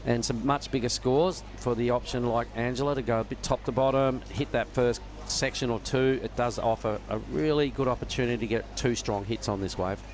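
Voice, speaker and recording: A man giving relaxed, knowledgeable live surf contest commentary from a judging tower. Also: mid-range voice, brisk, hardly any pauses. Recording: noisy outdoor ambience with traffic.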